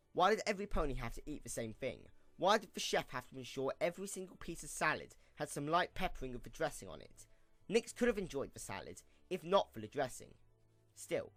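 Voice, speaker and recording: A man's voice: on the high side, a bit bright, dry recording. A man reading scripted story narration as the narrator of an audio drama.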